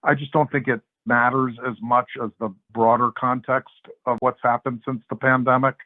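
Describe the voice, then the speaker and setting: A man speaking as a podcast guest, explaining financial markets in a measured, conversational way, in short phrases. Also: mid-range voice, flat delivery, no echo. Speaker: interview guest, macro research strategist and investment adviser. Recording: narrow phone-like sound, dry.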